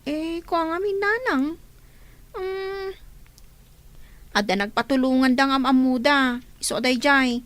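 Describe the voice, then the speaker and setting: A woman's voice: sits high, a little slow, pauses often, a bit bright, no echo. A woman with an Ilocano accent playing a whiny, evasive young student who dodges work in a radio comedy drama. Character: work-shy young female student making excuses.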